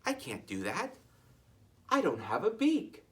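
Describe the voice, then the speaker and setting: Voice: mid-range voice, huge pitch swings, unhurried, distant mic. A reader voicing a stubborn, curious young female tortoise in a children's storybook, plaintive and earnest. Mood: sad. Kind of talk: animated children's storybook read-aloud.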